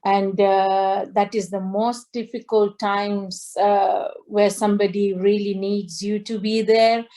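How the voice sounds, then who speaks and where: A middle-aged woman from Tanzania, a singer on a video call, talking slowly with many fillers and restarts. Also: medium pitch, level tone, warm tone. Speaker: guest singer. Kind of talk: interview over video call. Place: indoor home room.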